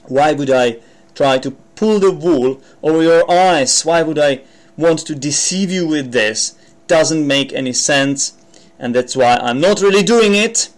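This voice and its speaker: A man's voice: fairly high pitch, a little slow. A man from the Czech Republic with a Czech accent, an English teacher explaining idioms on his podcast in a chatty way, with many fillers and restarts.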